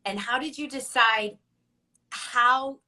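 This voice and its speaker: A woman's voice: on the high side, slow, bright, forceful tone. A woman hosting a book club interview, asking her questions with enthusiasm and repeating words as she goes. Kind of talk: conversational interview.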